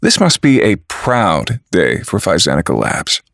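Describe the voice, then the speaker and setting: A man playing an earnest, dogged TV news reporter in an audio drama, speaking in a deliberate, pointed way. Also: deep voice, wildly swooping pitch, gravelly, a bit bright, no echo, clean studio sound, heavily acted. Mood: probing. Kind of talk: scripted audio drama acting.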